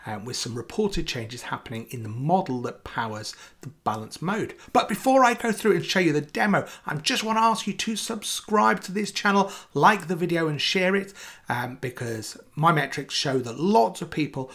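A man in his forties giving a casual software demo as a video presenter, in a conversational, emphatic way. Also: on the high side, animated, normal speed.

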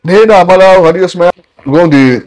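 A man's voice: high voice, fast, darkish tone, rough recording. A man with a Ugandan accent giving critical talk show commentary, mixing English terms into his speech.

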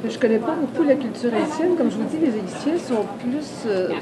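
A woman's voice: fairly high pitch, expressive, moderate pace. A middle-aged woman answering spontaneously in an interview as a film journalist. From Italian descent, living in Montreal. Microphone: handheld interview microphone. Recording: loud crowd chatter, handheld reporter mic. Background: busy festival crowd.

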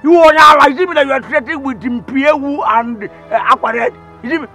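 Shrill, scornful Igbo village hothead. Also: male voice, brisk, sharp tone, faint music behind. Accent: Nigerian, Igbo. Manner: scornful, stammering repetition. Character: indignant, insulting, hot-tempered village man. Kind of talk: Nigerian film drama acting.

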